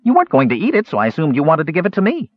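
Small excitable kender, played by a male reader: high-pitched, loud, cheeky, oblivious, storybook fantasy. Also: rapid-fire, dry recording, lo-fi sound. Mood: argumentative, oblivious. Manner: loud, indignant, blurting.

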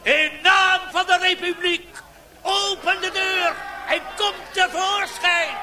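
A man playing a fiery, defiant leader of armed insurgents in a radio drama, declaiming in shouts. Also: extremely high pitch, steady tone, slow pace, over loud music. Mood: defiant, rousing. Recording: outdoor crowd noise behind.

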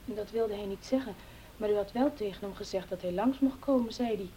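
An actress playing a polite, obedient household servant in a radio play, restrained in manner. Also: female voice, medium pitch, a little fast, dark tone, breathy edge, close to an everyday voice. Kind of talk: Dutch radio play acting.

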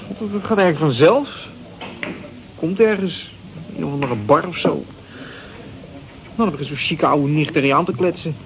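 An actor in a Dutch radio play as a defensive, insecure young man who talks haltingly in coarse, slangy language. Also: fairly high pitch, background noise. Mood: defensive, uneasy. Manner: halting, repeating words, coarse slangy swearing. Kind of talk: naturalistic Dutch radio drama acting. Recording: radio play with crowd chatter behind.

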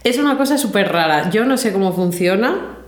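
A woman's voice: medium pitch, expressive, fairly quick. A woman from chatting casually as an interviewee, recorded in an echoey room. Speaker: interviewee, musician. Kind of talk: casual chat.

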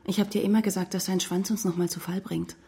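An actress playing a cold, cynical politician's wife in a radio crime drama, cutting and controlled. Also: female voice, lowish voice, flat delivery, fast talker, gravelly, dark tone. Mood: suspicious, cutting.

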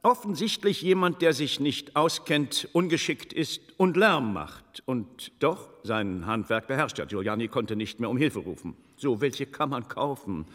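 An actor playing a shrewd, composed scholarly investigator in a German radio drama, speaking deliberately. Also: male voice, medium pitch, lively intonation, a bit bright. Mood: insistent, reasoning. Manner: measured, deliberate, probing questions. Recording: reverberant studio radio play sound.

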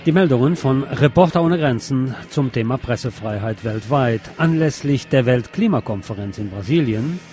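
A man's voice: mid-range voice, expressive, moderate pace, hardly any pauses, over music. A man reading scripted narration as a podcast host.

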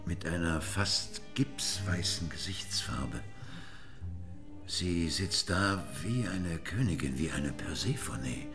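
A man's voice: low-pitched, animated, slow, over music, exaggerated voice. An actor playing a cultured, blunt and irascible blind man in a radio drama, grumbling and emphatic. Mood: eager, absorbed.

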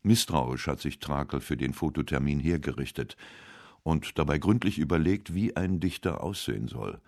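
A man reading scripted narration for a radio essay in a measured, literary way. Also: a bass voice, animated, vocal fry, a little mellow, no room sound, clean studio sound.

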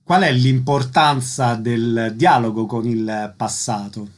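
Mid-pitched man interviewing on radio. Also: steady tone, takes it slowly. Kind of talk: concise scripted questions and announcements.

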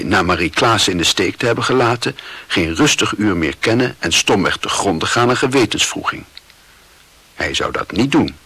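A man reading literary narration for a radio play in a deliberate, dramatic, reflective manner. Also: low-pitched, pushed and bright, breathy edge, dry recording.

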